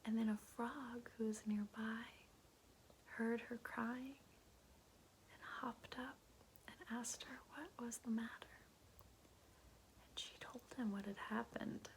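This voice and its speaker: A woman doing ASMR in a soft, breathy whisper, chatting and telling bedtime stories in a hushed, musing way. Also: fairly high pitch, steady tone, slow, pauses often, slight background noise, low-quality recording. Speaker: ASMR host.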